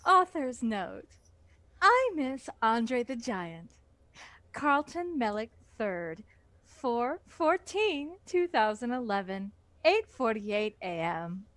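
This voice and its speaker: A woman co-hosting in casual banter, with a dry, brisk, teasing manner. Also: on the high side, big pitch swings, a bit bright, dry recording. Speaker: co-host.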